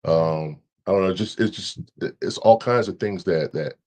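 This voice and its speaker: A man with a deep voice, a podcast guest talking in a relaxed, halting way, with many restarts and repeated words. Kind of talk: casual conversation.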